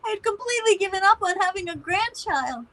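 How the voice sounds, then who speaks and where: A woman's voice: very high-pitched, animated, fast talker, bright, forceful tone, exaggerated voice. A middle-aged woman playing an emotional, wryly opinionated mother of a grown son in a naturalistic one-act play. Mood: overjoyed. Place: virtual play performed over video call.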